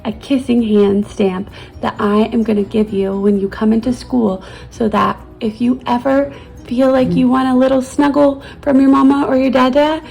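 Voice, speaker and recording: A woman reads a children's story gently, as a teacher would to her young pupils. Her voice is fairly high and mellow, with husky creak, over soft music. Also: low-quality recording. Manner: gentle, child-directed, soothing. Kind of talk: children's storybook read-aloud.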